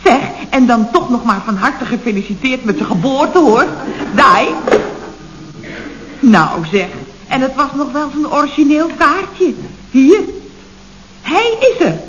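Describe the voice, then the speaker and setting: A woman's voice: high voice, very animated, measured pace, pauses often. An actress playing a chatty, dryly witty female office secretary in a vintage radio comedy, with a theatrical, sarcastic delivery. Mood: wry. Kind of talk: 1970s radio comedy acting. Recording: muffled, noisy old radio recording.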